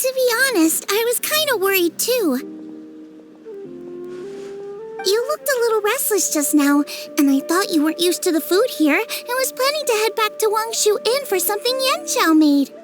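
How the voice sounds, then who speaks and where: A woman voicing an eager, kindly teenage girl cook in a dubbed fantasy game, chatty, earnest and full of exclamations. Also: very high-pitched. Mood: cheerful, concerned. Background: music.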